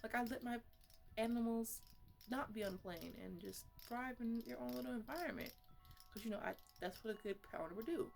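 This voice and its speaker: A woman playing a chatty, easily exasperated botanist who mothers her plants in an improvised ASMR roleplay, talking in a halting, casual, playfully scolding ramble. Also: fairly high pitch, gravelly, darkish tone, soft background music, pitched above the performer's own voice. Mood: playfully indignant.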